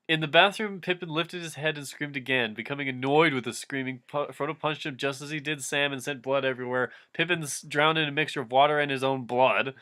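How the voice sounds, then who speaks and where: Bright-voiced man doing a mock-dramatic read-aloud. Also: medium pitch. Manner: breaks into giggles, mock-dramatic, self-interrupting. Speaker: reader of a fan fiction dramatic reading. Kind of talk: comedic read-aloud with casual asides.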